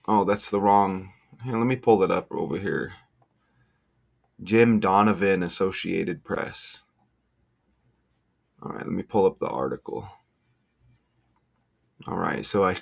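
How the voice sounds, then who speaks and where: A man with a lowish voice presents casually and slowly. He works through his explanation off the cuff, halting and then leaning on key words, and he often checks in with a short tag question. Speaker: online video presenter.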